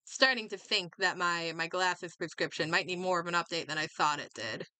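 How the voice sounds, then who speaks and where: A woman's voice: lowish voice, moderate pace, bright, forceful tone, clear, resonant tone, dry recording, poor audio. A woman livestreamer chatting casually as she games, reacting and thinking aloud, often doubling back on her words. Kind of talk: casual chat while gaming, reacting aloud.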